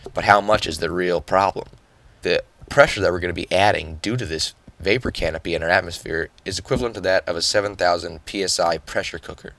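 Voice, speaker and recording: A young man hosting a solo podcast, nasal and a bit hoarse after yelling the day before, explaining things casually with fillers. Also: low-pitched, no room sound. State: hoarse from yelling, just woke up. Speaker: podcast host.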